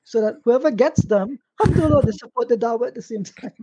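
Man, live stream panelist, informal advice, soft dark tone, husky, lo-fi dry sound. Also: very high-pitched, quick pace, no echo. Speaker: panelist answering callers' questions. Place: online stream. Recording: dull, lo-fi online call.

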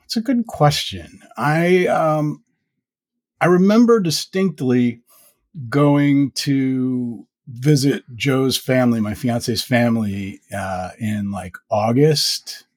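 A man's voice: medium pitch, takes it slowly. A man in his fifties, a podcaster being interviewed, talking reflectively and rambling with many fillers. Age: 50s. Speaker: podcast host and guest. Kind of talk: casual interview conversation.

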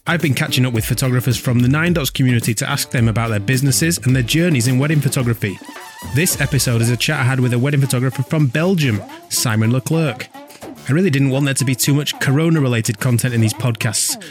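A man hosting a podcast, joking and chatty, sometimes stumbling over his words when he teases. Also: mid-range voice. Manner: joking, playful, stammers when teasing. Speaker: podcast host. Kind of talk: casual podcast chat.

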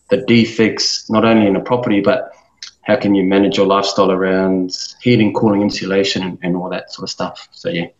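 A man in his thirties from New Zealand speaks as an interview guest, his voice sitting low. He thinks aloud, hesitating and restarting often. The sound is roomy and a little dull. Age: 30s. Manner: thoughtful, with many fillers and restarts. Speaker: guest, business owner. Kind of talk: casual interview talk.

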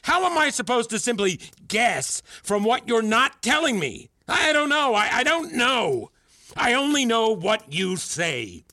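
A man's voice: mid-range voice, lively intonation, normal speed, breathy edge. A man playing a manipulative, patronising mentor in an audio drama, speaking articulately and with control as a self-styled father figure. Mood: indignant, agitated.

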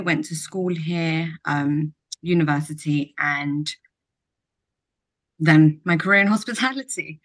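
A young adult woman from Kosovo, a hotel general manager, answering podcast interview questions in a reflective way with frequent ums. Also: low voice.

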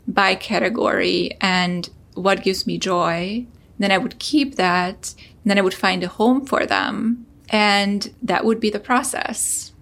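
A woman's voice: mid-range voice, creaky, fairly bright tone. A woman from Hungary, a startup founder, telling an earnest personal story as a podcast guest.

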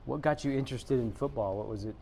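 A man's voice: mid-range voice, fast talker, vocal fry, dark tone, a little hiss or hum. A man hosting a school podcast, chatting casually and calling his guest "man". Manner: friendly, says "man" often. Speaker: podcast host. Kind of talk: casual interview chat.

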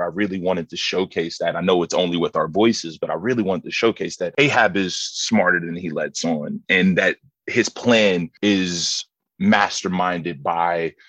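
Deep-voiced man chatting enthusiastically. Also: fairly bright tone, no echo. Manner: enthusiastic, reflective. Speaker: voice actor discussing his lead role. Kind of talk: casual conversational interview. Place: remote video call. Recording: dry video-call recording.

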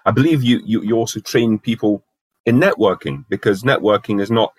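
A man hosting a podcast interview, asking questions in a brisk, affirming way. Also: lowish voice, dry recording. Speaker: podcast host.

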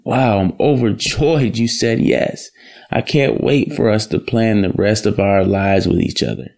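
A man's voice: fairly low pitch, a little slow, vocal fry, a little mellow. A man playing an earnest, respectful young man in love in an audio drama, speaking politely and formally. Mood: loving.

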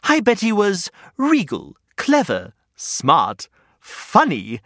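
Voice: mid-range voice, huge pitch swings, takes it slowly, fairly bright tone, dry recording, studio quality, pitched above the performer's own voice, heavily acted. A storyteller doing an anxious little hedgehog. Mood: worried. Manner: nervous, worried and deflated. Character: anxious hedgehog who fears everything.

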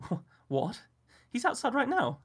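A man voicing an exasperated adult mother with a sharp, incredulous way of talking. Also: high-pitched, lively intonation, moderate pace, pitched above the performer's own voice, exaggerated voice.

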